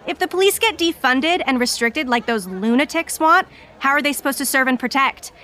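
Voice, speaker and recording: An actress playing a self-righteous, defensive pro-police counter-protester, speaking emphatically in an audio drama street interview. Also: female voice, high-pitched, animated, fairly quick, bright, forceful tone. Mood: indignant. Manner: emphatic, indignant.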